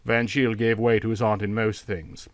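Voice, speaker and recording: A man's voice: lowish voice, flat delivery, normal speed, dry recording. A man reading a literary short story aloud as an audiobook narrator.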